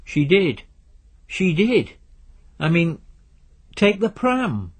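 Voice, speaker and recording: A man's voice: high voice, sing-song, extremely slow, breathy edge, voice pushed up, full character voice. A man voicing a laid-back, slangy young man in a story reading, with casual, offhand delivery. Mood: agitated. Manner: casual, slangy, hesitant fillers.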